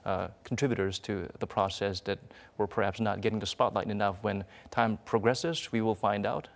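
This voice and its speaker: A man anchoring a live news discussion, commenting and asking questions in deliberate, reflective, wordy phrasing. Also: on the low side, steady tone, vocal fry.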